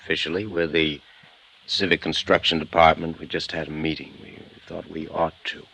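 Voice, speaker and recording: A big, smug American boss of a small town, out of a 1950s radio crime serial. The voice is low and very forceful, with a sharp edge and a dismissive, threatening calm. The vintage recording carries faint noise. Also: male voice, normal speed, heavily acted, poor audio. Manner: smooth, dismissive, quietly threatening.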